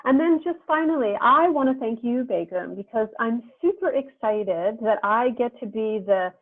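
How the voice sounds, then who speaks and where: A woman's voice: a little high, lively intonation, moderate pace, no echo. Reflective woman on a tinny video call. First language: English. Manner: earnest, reflective, speaks in long winding thoughts. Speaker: interviewer and host. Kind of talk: casual video-call interview. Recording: dry tinny phone-like video-call audio.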